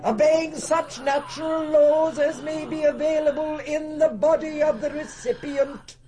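An actress playing a solemn high priestess in a radio drama, theatrical and commanding, as if reciting an incantation. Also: female voice, fairly even intonation, measured pace, music in the background. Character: solemn high priestess leading a death ritual.

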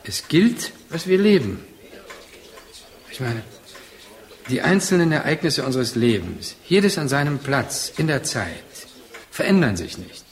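An actor playing a rational, argumentative man in a radio play, speaking in a deliberate, philosophizing manner. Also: medium pitch, very animated. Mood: reasoned, insistent. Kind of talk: German radio play dialogue.

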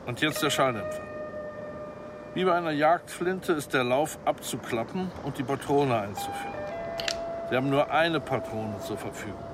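A man's voice: mid-range voice, lively intonation, moderate pace, natural voice. An actor as a methodical hitman arms expert, music behind. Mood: calm, instructing. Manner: methodical, instructing, formally polite. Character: businesslike hired arms expert, male professional killer for hire. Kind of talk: radio play acting.

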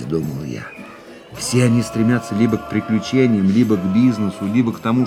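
A male actor playing a world-weary man in a radio play, musing tenderly on the woman he loves in a measured, reflective monologue. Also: low voice, creaky. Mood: wistful. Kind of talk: literary first-person monologue in a radio play. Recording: music under the voice.

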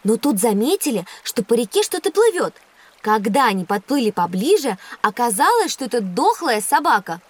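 A woman's voice: a little high, very animated, normal speed. A woman playing a well-read, slightly anxious young girl in an educational audio drama, speaking earnestly. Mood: eager recounting.

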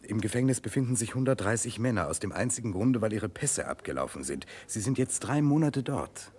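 A low, slightly rough male voice for a courteous prince in a classic German radio drama. He is formal and measured, with an earnest concern for the wronged. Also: lively intonation, a little mellow. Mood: earnest, insistent. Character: earnest, courteous Russian prince troubled by injustice. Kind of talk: mid-century German radio play acting.

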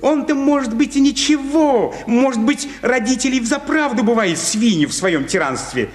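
A fussy, moralizing petty official in a radio play. His voice is very high for a man and swings animatedly as he gossips and scolds, ringing in a reverberant hall. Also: expressive, normal speed. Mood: disapproving. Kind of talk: theatrical radio play acting.

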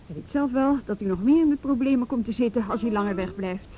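An actress playing a brisk, exasperated official in a radio play, firm and formal as she admonishes. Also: a little high, muffled recording, lightly acted. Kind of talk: radio drama acting. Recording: noisy old radio play recording.

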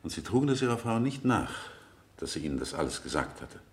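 Male interrogating lawyer, deep and expressive, formal, measured radio drama delivery. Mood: formal, probing. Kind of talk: 1970 German radio play acting.

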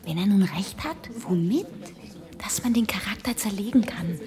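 A woman acting an uneasy, questioning listener in a German radio play, speaking in hushed, urgent asides. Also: mid-range voice, animated, normal speed, natural voice. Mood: agitated, hushed. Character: uneasy, questioning woman whispering in a lecture audience.

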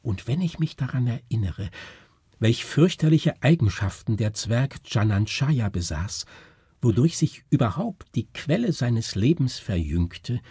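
A man voicing a haunted, brooding doctor in a gothic story, husky, halting and intense. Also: mid-range voice, dark tone, no room sound, studio quality, full character voice. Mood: grim. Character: haunted, brooding male doctor recounting horrors.

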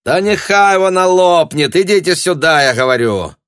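Blustering, excitable small-time shopkeeper hustler for an audiobook, acted by a man, colloquial, closely recorded. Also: medium pitch, vocal fry, husky, no room sound, clean studio sound, voice pushed up, heavily acted. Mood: impatient shouting. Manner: blustering, quick to shout, colloquial. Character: agitated shopkeeper, hustler with colloquial southern turns of speech.

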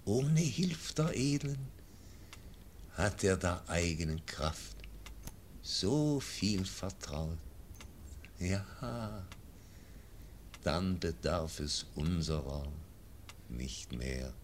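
An actor playing a frail, dying old nobleman, speaking verse slowly and fading into whispers. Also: low voice, lively intonation, a little hiss or hum, heavily acted. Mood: solemn. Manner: slow, fading, drops into whispers. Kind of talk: classic radio drama verse.

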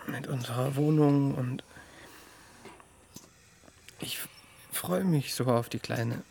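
An actor playing an anxious, apologetic expectant father, halting and intimate in naturalistic radio play dialogue. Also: mid-range voice, creaky, a little mellow, slight background noise. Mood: anxious, confessing.

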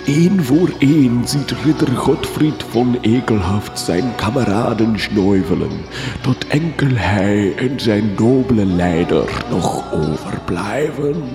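A doom-laden gothic count in a radio drama. He speaks extremely slowly in a low, theatrical voice with portentous, archaic phrasing, in a roomy sound with music behind. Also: lively intonation. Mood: solemn storytelling. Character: doom-laden count recounting his ancient failed covenant.

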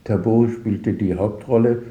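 An older man reflecting on his work as a radio drama director in an interview, pausing and searching for words as he recounts anecdotes. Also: lowish voice, steady tone, warm tone, reverberant. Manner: halting, searching for words, pausing mid-sentence.

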